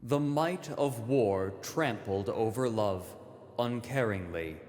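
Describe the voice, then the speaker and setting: A man narrating a mythic visual novel in a grave, deliberate, scripted style. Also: medium pitch, a little mellow, lightly acted. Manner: solemn, deliberate. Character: grave male narrator of myth and history.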